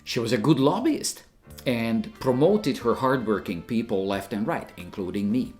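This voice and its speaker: A man's voice: mid-range voice, lively intonation, moderate pace, darkish tone, soft background music. An older man from Hungary, a retired teacher giving an emphatic, opinionated scripted narration.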